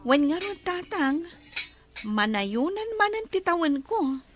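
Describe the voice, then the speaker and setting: Cheerful, gentle young woman and devoted daughter, female actor, radio drama, voice pitched very high. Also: sing-song, takes it slowly, soft background music, heavily acted. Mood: cheerful, affectionate. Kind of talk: Ilocano radio drama acting.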